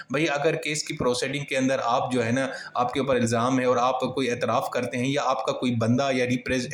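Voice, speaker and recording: A man giving an informal explanatory law lesson, reading out points and restating them in colloquial terms. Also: mid-range voice. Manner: reads a point, then restates it colloquially with examples. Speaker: law lecturer. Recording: echoey, roomy voice recording.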